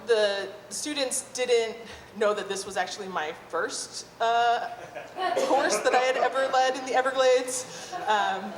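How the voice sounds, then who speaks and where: Woman, live storyteller, informal, hesitant, echoey hall, faint background noise. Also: medium pitch. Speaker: amateur storyteller.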